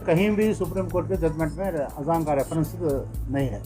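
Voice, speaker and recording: A man makes a spontaneous statement for an interview. He is emphatic and repeats himself in halting phrases. Faint music sits behind him. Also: on the high side, dark tone. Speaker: community representative giving a press statement.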